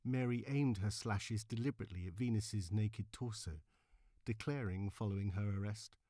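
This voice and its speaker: A man reading scripted historical narration in a measured, formal, articulate manner. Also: lowish voice, dark tone. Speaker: narrator, reader. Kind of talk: scripted documentary-style narration.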